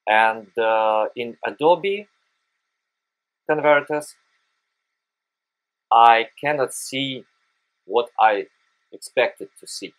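Slow, halting Russian-accented man. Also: mid-range voice, rough recording. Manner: hesitant, frequent "uh" pauses, careful non-native phrasing. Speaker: photography tutorial host. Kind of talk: screen-recorded software tutorial.

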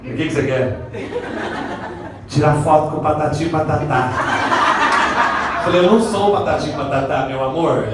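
A man's voice: fairly high pitch, moderate pace, long pauses. A male drag stand-up comedian from the São Paulo countryside with its accent, telling bawdy jokes and teasing the audience, laughing as he goes, on a handheld mic filmed from the audience. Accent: São Paulo interior Brazilian Portuguese. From Brazil. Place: small comedy clubs and halls with live audience. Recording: echoey venue, far camera, audience noise.

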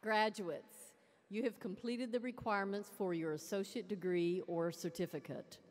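A woman's voice: medium pitch, steady tone, moderate pace, darkish tone. A woman presiding as college president, giving a formal, ceremonial commencement address from a lectern microphone. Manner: ceremonial, proclaiming. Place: large arena at a lectern. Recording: long arena reverb.

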